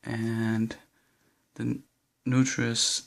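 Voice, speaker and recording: A young man speaks English with a Danish accent, working through his book collection in a halting, unsure way. His voice stays low in energy and flat in tone, with a gravelly fry underneath. He sounds slightly shaky and nervous throughout. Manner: hesitant, halting listing with nervous laughs. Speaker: vlogger. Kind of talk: casual bookshelf tour.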